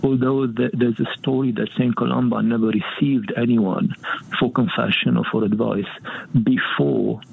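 Husky gravelly priest on a tinny line. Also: male voice, mid-range voice, fairly even intonation, normal speed. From Romania. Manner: earnest, reflective, laughs softly. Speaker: guest monk priest. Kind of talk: conversational interview.

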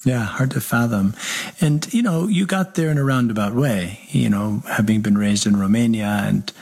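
A man, a parish priest hosting a radio interview, speaking gently and conversationally. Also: medium pitch, normal speed, vocal fry, slightly rough.

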